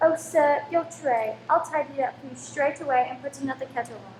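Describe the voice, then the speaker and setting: A woman acts out a fussy, nervous wife and landlady in a radio drama. Her voice is high and deferential. It sounds roomy, with faint noise. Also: a little fast, darkish tone, close to an everyday voice. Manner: fussing, polite, hesitant. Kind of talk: radio play acting. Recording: echoey room, faint noise.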